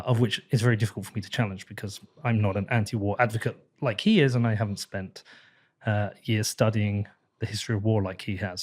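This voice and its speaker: A man's voice: on the low side, a little mellow. A man hosting a podcast interview, chatty and self-deprecating. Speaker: podcast host. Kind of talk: casual interview talk.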